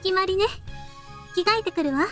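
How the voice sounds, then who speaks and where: Girlish young anime game heroine, very high and quick, music behind. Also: bright, forceful tone. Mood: soft, composed. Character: girlish young female anime visual novel character. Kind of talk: Japanese visual novel voice acting.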